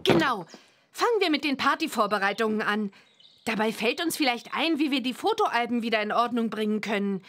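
A woman's voice: fairly high pitch, animated, fairly quick, a bit bright. A woman playing a cheerful, eager young witch girl in a cartoon game, with a girlish manner. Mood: determined.

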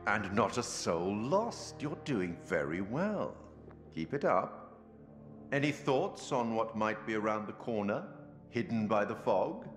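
An actor playing an enigmatic middle-aged man, delivering a composed, ominous theatrical monologue in a measured way. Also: lowish voice. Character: enigmatic, composed middle-aged male narrator who taunts softly. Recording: echoey room under music.

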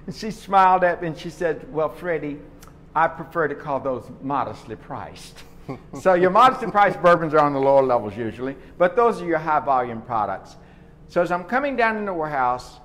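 A middle-aged man explains things in a folksy way, answering his own questions as he leads a tour. His voice sits slightly high. It is caught on a phone in a vast warehouse with a long reverb tail and faint noise. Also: a bit bright. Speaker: distillery tour guide. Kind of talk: guided warehouse tour on a live stream. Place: large indoor barrel warehouse and walk-in cooler. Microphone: phone camera held by someone walking with him.

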